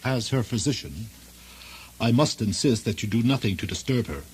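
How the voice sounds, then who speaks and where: A man's voice: medium pitch, expressive, normal speed, darkish tone, a little hiss or hum, natural voice. A man playing a greedy, unctuous physician with a formal manner in a comic radio play. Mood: oily. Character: smooth, greedy physician, seductive fraud. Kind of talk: comic radio drama acting.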